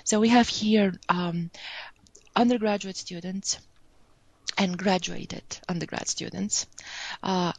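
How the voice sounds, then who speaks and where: A woman's voice: mid-range voice, steady tone, takes it slowly, vocal fry, pauses often, darkish tone, dry recording. A woman from Bulgaria, giving a remote conference talk on statistics, hesitant and self-correcting with many fillers. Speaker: academic speaker.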